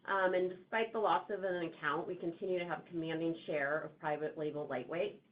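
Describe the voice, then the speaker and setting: A woman executive giving a prepared business answer on an earnings call, in measured corporate phrasing. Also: fairly low pitch, steady tone. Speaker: company executive answering investor questions. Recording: thin, phone-like call line.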